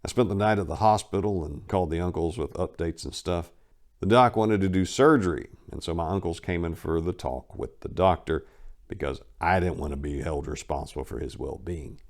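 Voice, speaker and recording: A low-voiced man reads his own life story aloud in the first person. He is blunt and wry, and now and then he jumps up in pitch and volume to punch a line. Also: a little fast, darkish tone. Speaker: narrator reading a memoir aloud. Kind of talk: first-person dramatic reading.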